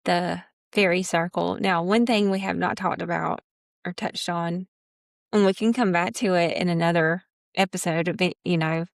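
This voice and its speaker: Female interviewer, lowish pitch, dry sound, informal and halting with repeated phrases. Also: fairly even intonation, creaky, no echo. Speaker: host. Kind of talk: casual conversational interview.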